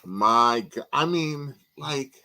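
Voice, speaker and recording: A man from New York, a guest on a podcast in casual chat, animated and often repeating words as he talks. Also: medium pitch, takes it slowly, gravelly.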